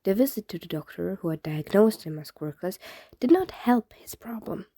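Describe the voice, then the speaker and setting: Mellow woman reading a story, close and dry. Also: mid-range voice, expressive, normal speed, no room sound. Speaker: fan fiction podfic reader. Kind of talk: scripted narration, audiobook-style story reading.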